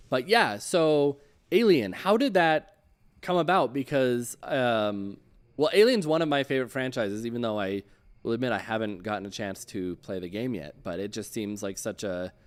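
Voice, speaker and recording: A man hosting a show, chatting casually with a guest in a halting, hesitant way. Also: medium pitch, lively intonation. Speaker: show host. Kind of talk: casual interview chat.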